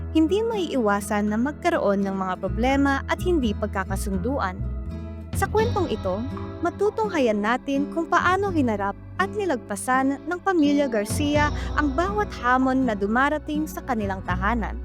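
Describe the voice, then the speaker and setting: A woman's voice: sits high, very animated, moderate pace. A woman with a Filipino accent reading scripted narration for a radio drama. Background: music.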